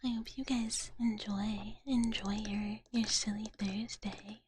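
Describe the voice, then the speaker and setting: A woman streaming ASMR in a soft, gentle whisper, often repeating her phrases, her voice a little tired. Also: mid-range voice, steady tone, slow pace, background noise. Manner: speaks of herself in third person. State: voice tiring, slightly strained. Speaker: live streamer hosting as a virtual character.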